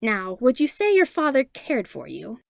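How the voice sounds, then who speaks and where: A smug courtroom lawyer from an audiobook story, a man as a woman narrator plays him, needling and insinuating. The questions come with lively swings of pitch, in a dry, close sound. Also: moderate pace, vocal fry, dry recording, heavily acted. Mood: smug questioning. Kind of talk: dramatized fan fiction audiobook reading.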